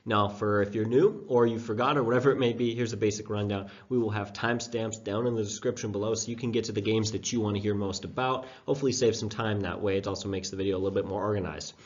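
A man's voice: on the low side, fairly even intonation, fast, few pauses. A young man hosting a fantasy football show, sick with a sore throat and stuffed-up nose, talking in a chatty, self-deprecating way with loose fillers. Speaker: fantasy football podcast host. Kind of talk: casual breakdown talk. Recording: dull lo-fi sound.

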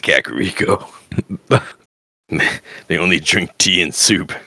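A man co-hosting a podcast in casual chat, excitable and quick to laugh. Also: low-pitched, slightly rough, no echo, studio quality. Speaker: podcast co-host.

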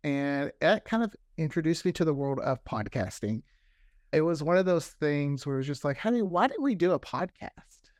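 Male podcast guest, slightly high voice, casual and animated storyteller who quotes himself, close dry sound. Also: normal speed, dry recording. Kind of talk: casual interview chat.

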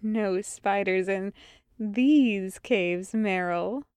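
A woman's voice: mid-range voice, extremely slow, a little mellow, clear, resonant tone, dry recording, clean studio sound. A woman voicing a brash, teasing woman rogue in a story, flirtatious and playfully emphatic.

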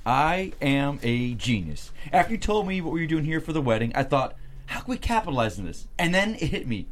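A man's voice: on the high side, big pitch swings, brisk. A man at a script table read, playing a smug, scheming TV producer who talks like a salesman. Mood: smug, excited. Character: brash, self-satisfied male TV producer, scheming and excitable.

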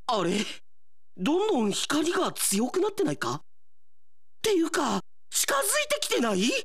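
A man acting a brash, aggrieved high school boy in a melodramatic, exclamatory radio drama style. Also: wildly swooping pitch, moderate pace, creaky, a bit bright, husky, full character voice. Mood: curious, alarmed.

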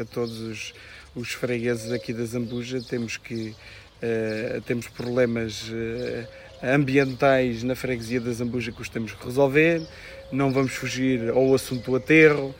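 Hoarse man in his thirties with a flat delivery. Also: mid-range voice, a little hiss or hum. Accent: European Portuguese. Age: 30s. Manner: hesitant, many fillers and restarts. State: strained voice. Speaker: local election candidate. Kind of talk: political interview answers.

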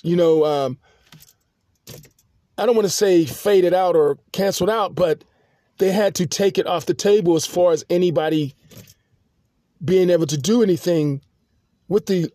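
Halting, rambling man, mellow voice. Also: high-pitched, no room sound, studio quality. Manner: halting, many restarts and fillers. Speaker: podcast host. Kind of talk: rambling personal monologue.

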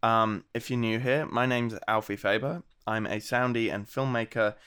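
An Australian man hosting a podcast interview, friendly and chatty, his voice gravelly from being sick. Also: medium pitch, steady tone, moderate pace, fairly bright tone. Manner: friendly, chatty, many ums. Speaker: podcast host.

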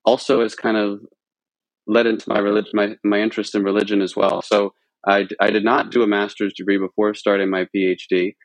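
An American man with a somewhat low voice talks as an interview guest. He is informal and discursive, rephrasing himself mid-sentence like a researcher explaining his work. The sound is very dry, with no room around it. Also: little pitch movement, a little fast, dry recording, low-quality recording. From central Pennsylvania, United States. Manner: informal, discursive, self-correcting. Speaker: podcast guest, religion researcher. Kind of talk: conversational interview answers.

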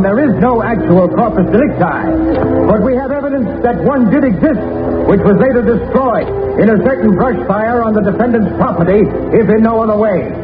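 Prosecutor, male actor, high-pitched, deliberate courtroom oratory, 1940s radio drama, narrow tinny band. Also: roomy sound, close to an everyday voice. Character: methodical, earnest male prosecutor addressing a court.

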